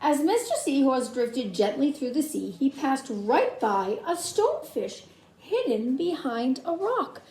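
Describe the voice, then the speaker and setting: A woman reads a children's story slowly, with a high and lively voice. She speaks straight to young listeners, inviting them into the book. The room adds echo to her voice. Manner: expressive, addressing young listeners with questions. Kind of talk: read-aloud children's story with asides to listeners. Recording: roomy echo, faint background noise.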